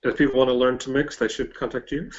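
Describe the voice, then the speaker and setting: A man hosting a casual interview with halting, hesitant phrasing, heard over a dull online call. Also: medium pitch, flat delivery. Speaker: interviewer, host. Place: online video hangout.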